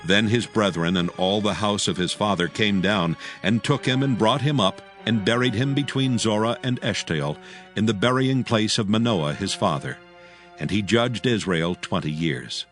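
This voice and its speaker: Low-pitched solemn male scripture narrator. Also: normal speed, fairly bright tone, faint music behind.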